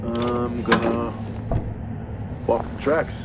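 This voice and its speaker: A man's voice: level tone, drawn-out words. A man playing a beleaguered, wisecracking engineer in a video game, understated and droll in a naturalistic style. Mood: frustrated, resolute. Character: beleaguered, wisecracking male engineer exploring a mining base. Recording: clear background noise and rumbling ambience.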